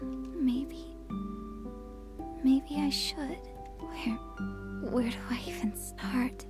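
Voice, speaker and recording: A woman playing a troubled, apologetic, grieving teenage girl in a visual novel, speaking softly and hesitantly. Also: high-pitched, drawn-out words. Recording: music behind the voice.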